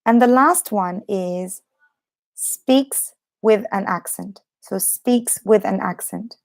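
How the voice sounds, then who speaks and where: A young British woman in her twenties or thirties teaching a live English lesson. She has a slight cough and explains slowly and chattily, repeating her points and filling pauses with "um". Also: medium pitch, gravelly, a little mellow. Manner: chatty, explains slowly with repetition and many fillers.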